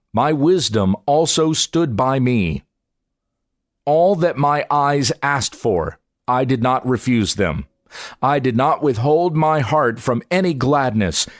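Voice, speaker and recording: A man reads scripture aloud like a pastor narrating an audiobook, in a measured, solemn, deliberate way. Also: mid-range voice, no room sound, clean studio sound.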